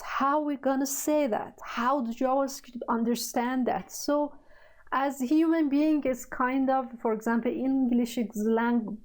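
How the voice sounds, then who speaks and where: A woman with a Persian accent, a native Persian speaker, teaching a coding lesson in halting, hesitant speech full of fillers. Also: high voice, darkish tone, poor audio. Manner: halting, searching for words, many fillers. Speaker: programming tutor. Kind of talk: screen-recorded coding tutorial.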